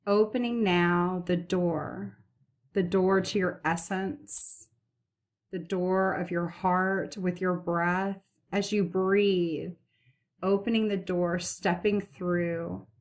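A woman leading a guided meditation as its host, speaking soothingly and gently in repeated, unhurried phrases. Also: fairly low pitch.

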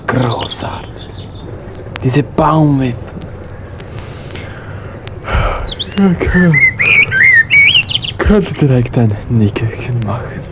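A man playing a wondering, dreamy sound hunter who muses haltingly in a radio play. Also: mid-range voice, animated, heavy background noise. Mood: dreamy delight. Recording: 1980 vinyl radio play recording.

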